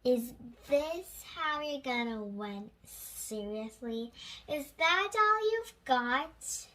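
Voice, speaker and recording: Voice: sits high, lively intonation, drawn-out words, bright, forceful tone, heavily acted. A child reading a storybook, voicing a blustering, indignant villainous penguin president. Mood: taunting. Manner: indignant exclamations.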